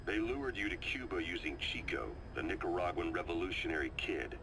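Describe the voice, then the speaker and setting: A man playing a seasoned, calm and knowing military adviser in a video game, briefing his commander in a measured, confiding storytelling delivery. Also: low voice, noisy background. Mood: grave, ominous. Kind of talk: video game voice acting, dramatic briefing monologue. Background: music.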